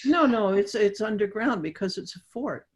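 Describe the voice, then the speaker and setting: A woman speaks at a middling pitch. She chats informally, as a participant in an online conversation with an author would. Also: animated, moderate pace, husky. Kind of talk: casual chat.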